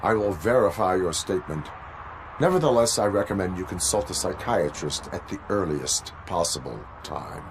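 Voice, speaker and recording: Cyborg lawman, game cutscene: deep, stiff, formal, stoic, over light background noise. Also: expressive. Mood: stern, flat. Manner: stiff, formal, deliberate phrasing. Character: stoic male cyborg police officer, formal, relentless. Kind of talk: video game cutscene acting.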